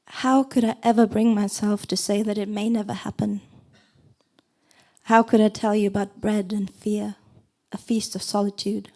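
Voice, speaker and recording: A woman whose first language is not English reads her own poetry to a live audience. Her delivery is soft and measured, with an even, middle-pitched voice. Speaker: poet reading at a book presentation. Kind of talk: poetry reading with brief asides.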